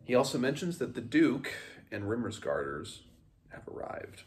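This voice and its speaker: A man hosting a read-along, giving a casual book recap with rambling, halting, self-correcting commentary. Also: medium pitch, very animated.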